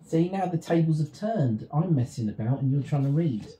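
A man's voice: fairly high pitch, lively intonation, moderate pace, warm tone. A father joking around and teasing his daughter during a bedtime read-aloud at home. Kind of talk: casual banter with his daughter. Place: bedroom, on a bed. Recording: echoey room.

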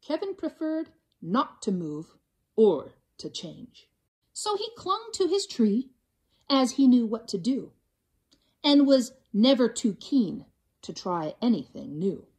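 High-pitched, animated woman reading a story. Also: slightly rough. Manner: theatrical, drawn-out delivery. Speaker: teacher reading aloud to children. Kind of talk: expressive storybook read-aloud in rhyme.

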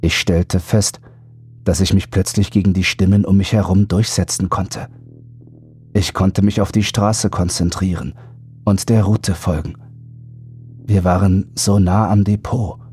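A man's voice: low voice, steady tone, unhurried, mellow, breathy edge. A man reading a scripted first-person horror story as an audiobook narrator. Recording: background music under voice.

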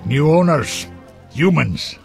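An actor playing a blunt, crude, hearty dwarf in a fantasy game, talking in an earthy way. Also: male voice, on the high side, expressive, slow pace, gravelly, faint music behind, exaggerated voice.

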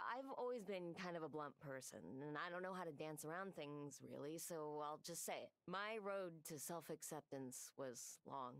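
Actress as laid-back young partner, low voice, frank, self-assured, game cutscene, dry close sound. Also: female voice, dry recording, natural voice. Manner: frank, easygoing. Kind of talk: video game cutscene voice acting.

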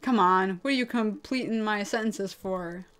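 A woman voicing a hot-tempered young male killer, blunt, gruff and impatient, in anime drama style. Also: medium pitch, normal speed, natural voice. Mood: menacing.

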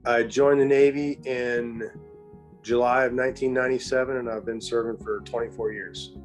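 A man's voice: medium pitch, steady tone, moderate pace, soft background music. A man in his forties, a Navy senior chief, speaking plainly and with some hesitation on a webcam from a room at home. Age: 40s. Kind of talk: recorded testimonial.

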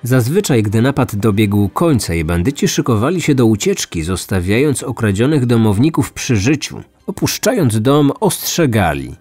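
A man's voice: mid-range voice, big pitch swings, normal speed, hardly any pauses, a little mellow, breathy edge, no room sound. A man hosting a true-crime podcast, reading scripted storytelling narration. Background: music.

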